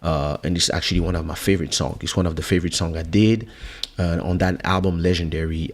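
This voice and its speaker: Male stream host, low gravelly voice, casual and pause-filled, muttering as he thinks aloud. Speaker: livestream host and musician. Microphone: close podcast microphone.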